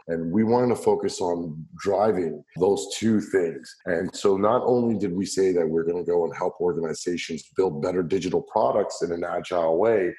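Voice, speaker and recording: A man with a deep voice, a company founder answering interview questions in a confident, businesslike way. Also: mellow, poor audio. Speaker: tech company founder and CEO.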